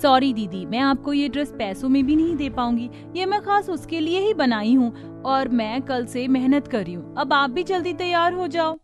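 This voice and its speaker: A woman's voice: high-pitched, animated, moderate pace, hardly any pauses, dull audio, soft background music. A woman voicing a kind, hardworking young younger sister in a Hindi cartoon story, speaking earnestly and pleadingly.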